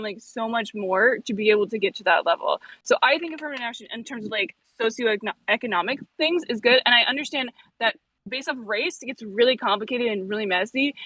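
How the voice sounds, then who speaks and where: A woman's voice: a little high, pushed and bright, dry recording, rough recording. A young woman in a casual stream debate, talking bluntly and swearing a lot, with many fillers. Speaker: debate participant.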